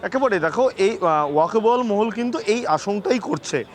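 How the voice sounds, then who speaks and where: A man with a West Bengal Bengali accent giving live news analysis as a correspondent, halting and repeating himself for emphasis. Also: sits high, animated, soft background music. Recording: clear background noise and ambience.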